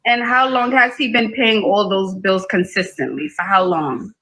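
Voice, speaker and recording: A woman's voice: mid-range voice, normal speed, bright, forceful tone. A woman hosting a live call-in dating show, asking a caller pointed, probing questions.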